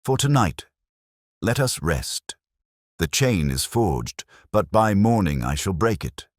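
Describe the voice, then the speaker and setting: A man voicing a grave, confident gentleman detective in a storybook, with measured, formal, authoritative speech. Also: low voice, big pitch swings, dry recording, clean studio sound, pitched above the performer's own voice, heavily acted. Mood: solemn. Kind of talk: audiobook character dialogue.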